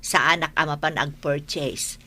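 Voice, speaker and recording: A woman's voice: low-pitched, fairly even intonation, a little slow, sharp tone. A woman playing a kindly, motherly middle-aged aunt in a radio-style story drama. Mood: encouraging.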